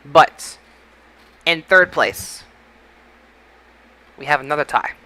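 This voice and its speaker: A man's voice: on the high side, animated, slow pace, pushed and bright, dry recording. A man hosting a casual podcast results show, talking in an announcer-like way and repeating things for effect. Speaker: podcast host.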